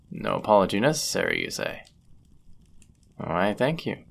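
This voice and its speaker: Fantasy audio drama: man to play amorous, courteous innkeeper and proud charmer, lowish creaky voice, formal and suave. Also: exaggerated voice. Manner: formal, ornate, suave, teasing phrasing. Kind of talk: scripted roleplay audio drama, addressing the listener.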